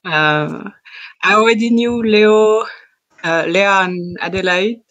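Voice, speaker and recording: A woman in her twenties from Switzerland, introducing herself on a video call with halting, filler-filled speech. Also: medium pitch. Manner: hesitant, many fillers, laughs softly. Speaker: art contest winner.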